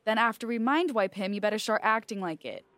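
A woman's voice: medium pitch, animated, quick pace, a bit bright, no echo, lightly acted. Woman acting as controlled American corporate official. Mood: firm, cold. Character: firm, controlled female corporate official, calmly coercive. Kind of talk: audio drama acting.

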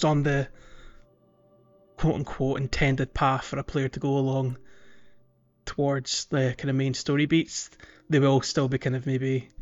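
A man's voice: mid-range voice, highs cut off. A man chatting casually and wryly as he hosts a video game podcast. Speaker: podcast host.

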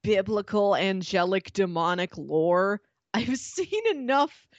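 A woman's voice: mid-range voice, animated, slow, dry recording, muffled recording. A woman co-hosting a podcast, chatting casually and conversationally. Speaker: podcast co-host. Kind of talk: casual chat about fiction.

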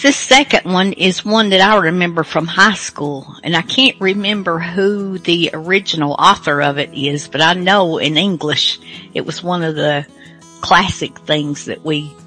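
A woman's voice: deep voice, pushed and bright, low-quality recording. A woman hosting a show, introducing things casually in a hesitant, folksy, rambling way. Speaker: podcast host. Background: faint guitar music.

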